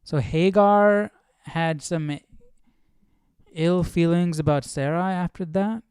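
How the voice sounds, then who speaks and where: Guy thinking out loud on a study stream, smooth clear voice, real dry close sound, asks himself stuff. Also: fairly high pitch, no room sound. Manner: musing, questioning, halting as he works out thoughts. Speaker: host of a live Bible study stream. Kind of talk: casual reading commentary, thinking aloud.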